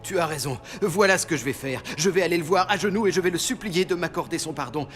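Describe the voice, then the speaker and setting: An actor playing an impulsive son of a biblical patriarch, theatrical and expressive, in a cartoon dub. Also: male voice, fairly high pitch, fast, faint music behind, natural voice. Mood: remorseful. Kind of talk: dubbed biblical cartoon acting.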